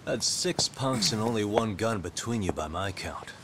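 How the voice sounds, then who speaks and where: An actor playing a cool, shrewd investigator in a dubbed video game, confident, dry and probing. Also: male voice, fairly low pitch, expressive, moderate pace, noisy background, lightly acted. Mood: taunting. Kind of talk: English dub video game cutscene acting. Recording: loud music over the voice.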